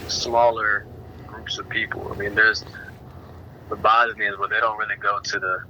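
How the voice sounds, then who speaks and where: A man's voice: fairly low pitch, level tone, moderate pace, pushed and bright, slight background noise. A man in a casual group discussion, speaking hesitantly with many restarts, on a dull lo-fi recording. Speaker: discussion participant.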